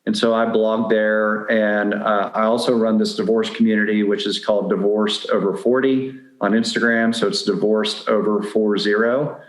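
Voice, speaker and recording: A middle-aged man with a fairly low voice, heard with clear room echo. He talks casually as a podcast guest, explaining things in a conversational way. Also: level tone, moderate pace, few pauses. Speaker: podcast guest, dating advice blogger. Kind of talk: casual interview chat. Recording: roomy, echoing sound.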